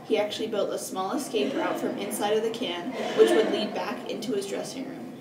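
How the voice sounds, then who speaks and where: A woman's voice: mid-range voice, brisk. A young woman narrates a scripted history report as a student presenter, stumbling and correcting herself as she reads. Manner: stumbling, self-correcting reading. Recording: distant mic, roomy echo, clear noise.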